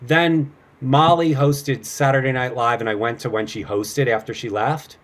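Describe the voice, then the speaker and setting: A man's voice: mid-range voice, steady tone, normal speed. A middle-aged man chatting casually as an interview guest, telling stories in an informal way and acting out bits of dialogue. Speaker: guest, actor and improv comedian. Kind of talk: casual chat, anecdotes.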